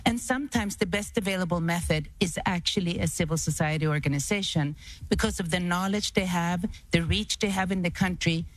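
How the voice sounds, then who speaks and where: A woman's voice: lowish voice, fairly quick, dry recording. A woman speaking as an executive on a panel, giving an organized but unscripted answer. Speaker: development bank executive on a panel.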